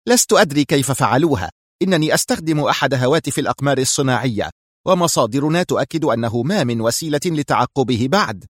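A man voicing a tense, angry, defiant female spy with a nervy, sharp manner in a story reading. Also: medium pitch, animated, fast talker, no room sound, studio quality, voice pushed up, natural voice. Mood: irritated, defensive.

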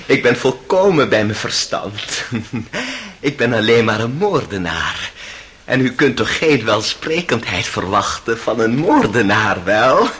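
A half-mad, bitter young witness in a radio drama. His voice is bright and swings widely while he speaks slowly, with a sardonic, theatrical edge, over a noisy old recording. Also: mid-range voice, very animated. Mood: bitter, mocking. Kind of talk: radio play acting.

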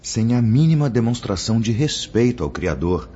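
An actor playing a kindly, solemn spirit mentor in a Brazilian radio drama, speaking with formal, didactic diction and a Brazilian accent. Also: male voice, medium pitch, big pitch swings, moderate pace, noisy background, close to an everyday voice. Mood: grave, admonishing. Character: Brother Clemente, kindly solemn male spirit mentor instructing apprentices. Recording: muffled audio under prominent music.

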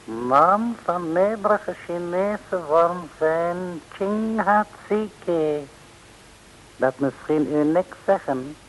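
A man's voice: high voice, drawn-out words, slight background noise, rough recording. An actor playing a sly, mock-humble henchman villain in an old radio comedy serial, speaking ceremoniously in stilted broken grammar.

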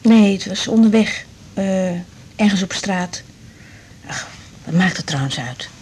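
Female actor, young woman doctor, middling pitch, restrained, protective, hesitant, radio drama, faint noise. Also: expressive, unhurried, a little mellow, lightly acted. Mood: careful, grave. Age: young adult. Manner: hesitant, subdued. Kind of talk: 1980s Dutch radio drama acting. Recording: noisy 1980s radio drama recording.

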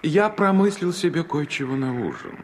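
A man's voice: on the high side, huge pitch swings, moderate pace, creaky, a little hiss or hum, full character voice. A man playing a gallant, self-pleased young male stork in a fairy-tale audio play, flowery and pompously courteous.

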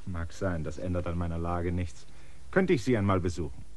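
A man's voice: deep voice, a little fast, darkish tone, lightly acted. An actor playing a weary, suspicious land surveyor who gives his assistants firm orders in a radio play. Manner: tired, suspicious and commanding. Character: weary stranger, a land surveyor, middle-aged man. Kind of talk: classic German radio drama acting.

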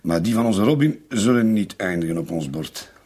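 A man's voice: on the low side, expressive, moderate pace, mellow, husky. An actor playing a dismissive, soothing middle-aged Flemish husband and father in a radio play. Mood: calm, reassuring. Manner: soothing, dismissive, mildly mocking. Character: dismissive, calm-minded husband and father Claude.

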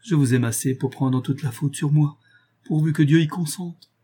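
A man's voice: fairly high pitch, normal speed, warm tone, breathy edge, pitched above the performer's own voice. A man reading a novel aloud as a remorseful married noblewoman, grave, reproachful and resolute as she renounces her lover. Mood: sorrowful resignation.

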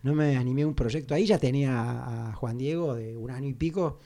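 Un hombre con acento argentino, invitado en una charla radial, que cuenta anécdotas entre risas y muchas vacilaciones. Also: male voice, medium pitch, a little fast, vocal fry, warm tone. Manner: rambling anecdotes, frequent laughs and hesitations. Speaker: guest. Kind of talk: casual radio conversation.

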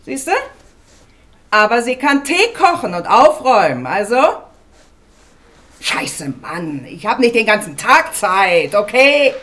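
A woman's voice: mid-range voice, sing-song, takes it slowly, long pauses, fairly bright tone. An actress playing a brash, impatient woman in a radio play, blunt, curt and coarse in her talk. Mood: brusque, impatient. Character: brash, impatient woman pushing a new maid.

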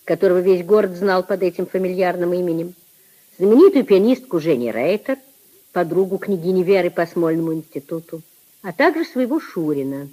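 A woman narrating a literary radio play in a 1950s style, with a husky voice. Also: lowish voice, dark tone, rough recording.

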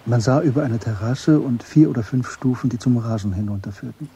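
A man narrating a German literary radio drama in a measured, understated way. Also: medium pitch, warm tone, slightly rough, dry recording.

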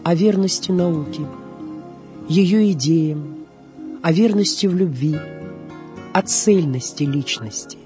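A woman's voice: deep voice, slow, pauses often, mellow, soft background music. A woman reading a scripted documentary narration as the narrator of a radio programme. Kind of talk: scripted documentary narration about film and theatre.

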